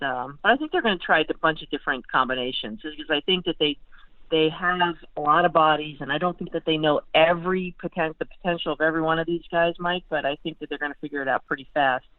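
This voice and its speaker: A woman's voice: low-pitched, dry recording. A phone-like, narrow-band line carries an American woman talking sports as a guest analyst. She speaks casually and conversationally, often restarting and repeating phrases as she works out her point. The sound is dry, with no room around it. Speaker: sports beat writer, podcast guest.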